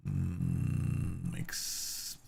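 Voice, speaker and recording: A man's voice: low voice, extremely slow, vocal fry, warm tone, husky. A man from Singapore live-streaming a football management game, chatting casually, reading game news aloud and laughing often. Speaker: gaming streamer.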